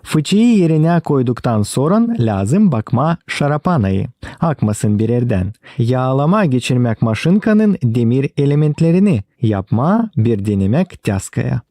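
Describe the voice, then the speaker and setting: A man's voice: medium pitch, very animated, measured pace, few pauses, warm tone, no echo. A man voicing a practical village worker in a cartoon, explaining chores in a matter-of-fact way. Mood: instructive. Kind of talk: cartoon character dialogue. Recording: music behind the dialogue.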